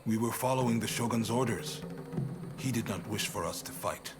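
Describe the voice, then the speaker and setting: A man's voice: lowish voice, normal speed, close to an everyday voice. Man playing a frank samurai commander over music. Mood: grave, steady. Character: frank, resolute samurai military commander, burdened but calm. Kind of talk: dramatic video game cutscene acting. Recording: music behind the voice.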